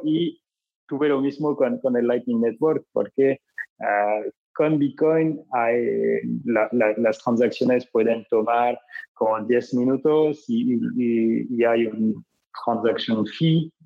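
Slow halting man with a French accent. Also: mid-range voice, gravelly, muffled recording. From France. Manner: halting, many fillers and restarts. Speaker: startup cofounder guest. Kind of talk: interview answer.

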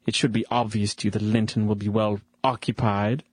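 An actor playing a suave, predatory duke in a period radio drama, condescending and full of oily mock gallantry. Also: male voice, fairly low pitch, little pitch movement, a little fast, dry recording, clean studio sound, lightly acted. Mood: coaxing, menacing. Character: suave, predatory, smug English duke, a lecherous villain.

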